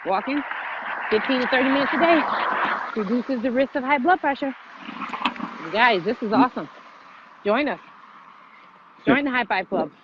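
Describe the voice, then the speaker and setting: A woman's voice: on the high side, expressive, moderate pace, pauses often. A woman chatting in an emphatic, encouraging way on a workout vlog while exercising, often repeating her phrases. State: jogging briskly while talking. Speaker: fitness vlog co-host. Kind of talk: motivational workout vlog chat. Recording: muffled audio with loud noise.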